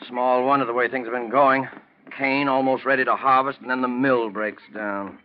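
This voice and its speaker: An actor playing a gloomy, irritable and fearful country gentleman, in the manner of 1940s radio drama. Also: mid-range voice, steady tone, normal speed, close to an everyday voice. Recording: dull, noisy old radio recording.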